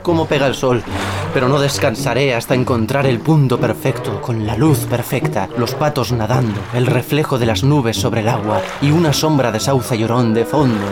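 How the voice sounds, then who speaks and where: An actor with a Castilian accent playing a grandiose, lovesick dreamer turned pirate in a scripted radio comedy. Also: male voice, mid-range voice, normal speed, close to an everyday voice. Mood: wistful, dreamy. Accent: Castilian Spanish. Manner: theatrical, grandiose, poetic. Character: dreamy, lovesick postcard-obsessed man turned swashbuckling pirate. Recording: studio recording under loud music.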